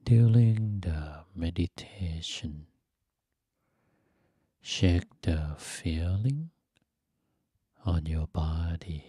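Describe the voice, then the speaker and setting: A man leading a guided meditation in a soft, breathy, gentle voice, speaking in short phrases with long pauses between them. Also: low voice, animated, dry recording, studio quality. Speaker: Buddhist monk teaching meditation.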